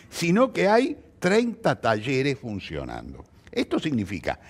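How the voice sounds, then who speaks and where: Older male interviewee, Rioplatense Argentine accent, mid-pitched and very animated, emphatic explainer. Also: vocal fry, husky. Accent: Argentine Rioplatense Spanish. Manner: emphatic, didactic, builds points with rhetorical questions. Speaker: playwright interviewee.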